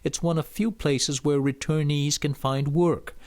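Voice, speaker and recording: A man narrating a news report in a measured, scripted delivery. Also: a little high, fairly even intonation, a little mellow. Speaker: news correspondent.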